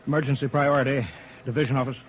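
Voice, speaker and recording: Actor as commanding radio-drama chief engineer, muffled vintage sound. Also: male voice, medium pitch, level tone, quick pace, very noisy, lightly acted. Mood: urgent, commanding. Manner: authoritative, clipped, urgent orders. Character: commanding, brisk chief engineer of futuristic rolling roads. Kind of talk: 1950s radio drama acting. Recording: muffled vintage radio broadcast with background crowd.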